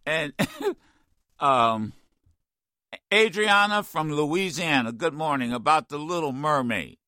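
A man hosting a talk radio show, ranting in an animated, exasperated way with many stammered restarts, his voice getting worn. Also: fairly high pitch, slow pace, no echo, clean studio sound. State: losing his voice. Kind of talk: opinionated talk radio rant.

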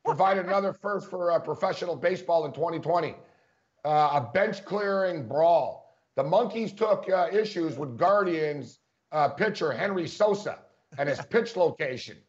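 A man's voice: a little high, normal speed. A man co-hosting a casual sports talk show, chatty, laughing often and full of fillers. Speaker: sports podcast co-host. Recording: dull lo-fi sound.

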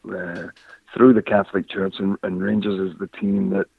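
Interview guest, male, from Scotland, low-pitched, hesitant, heard over a dry phone line. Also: level tone, normal speed, creaky, slightly rough, no room sound. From Scotland, raised in northern England. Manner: hesitant, many fillers and restarts. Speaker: guest, former football player. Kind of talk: casual interview, storytelling.

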